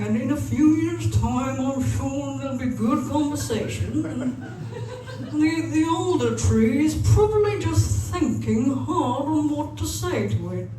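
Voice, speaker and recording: A woman voicing a kindly talking male turtle, wise and dreamy, with a drawn-out, reassuring way of speaking in a fairy tale. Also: high-pitched, warm tone, distant mic. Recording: very echoey room with noise.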